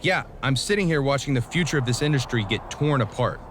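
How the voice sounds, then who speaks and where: A man's voice: mid-range voice, expressive, fairly quick, fairly bright tone, slight background noise, lightly acted. A man playing a serious, weary pro wrestler in a game cutscene, talking dryly as the exasperated straight man. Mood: weary, irritated. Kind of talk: scripted video game cutscene dialogue.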